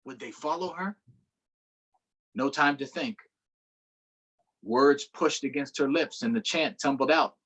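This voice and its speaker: A man reading a picture book aloud to children in an unhurried way, pausing for short explanatory asides. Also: mid-range voice, fairly bright tone, husky. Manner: unhurried, adds explanatory asides to young listeners. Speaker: storytime reader.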